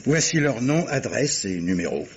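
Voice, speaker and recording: A man's voice: mid-range voice, sing-song, moderate pace, slight background noise. A man playing a shrewd, genial army captain and amateur detective in a 1950s-style French radio drama, emphatic and coaxing. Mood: deferential, persuasive. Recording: muffled old radio recording.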